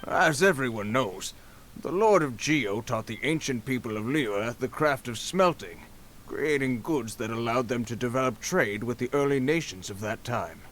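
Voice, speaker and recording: A male actor as a self-assured fantasy video game scholar. He lectures formally in a medium-pitched voice, with a little noise behind him. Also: lively intonation, normal speed, fairly bright tone, lightly acted. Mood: assured, lecturing.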